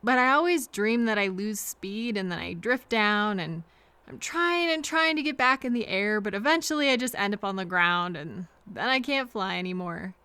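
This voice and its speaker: A woman playing a kind, apologetic train passenger in an intimate audio roleplay, speaking softly and hesitantly to reassure a frightened stranger. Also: mid-range voice, lively intonation, clear, resonant tone, dry recording. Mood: soothing. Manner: gentle, hesitant, reassuring, pausing for replies.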